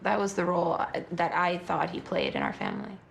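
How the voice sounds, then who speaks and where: A woman's voice: lowish voice, wildly swooping pitch, a little fast, creaky, a little hiss or hum. A woman talking about her family in a reflective documentary interview. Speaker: family member giving testimony.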